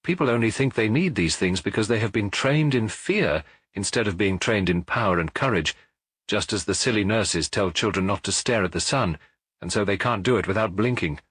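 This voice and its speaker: A haughty young modern British woman acted out by a male audiobook narrator speaks with lofty, brisk emphasis. The voice is husky and fiery in temper, recorded dry. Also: fairly low pitch, lively intonation, fairly bright tone, dry recording. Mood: lofty, defiant. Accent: British English.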